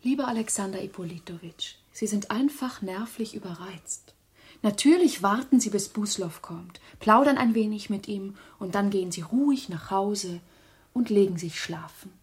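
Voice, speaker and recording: An actress in a radio drama playing a polite, solicitous wife and hostess with a breathy voice and a fussing, insistent manner. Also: female voice, mid-range voice, normal speed. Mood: gently soothing.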